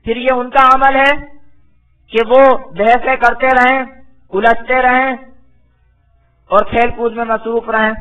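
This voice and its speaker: A man's voice: very high voice, level tone, takes it slowly, long pauses, muffled recording, soft background music. A man giving a religious lecture as a Quran teacher, reciting verses phrase by phrase, then translating and explaining them with didactic repetition. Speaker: Islamic scholar, Quran teacher.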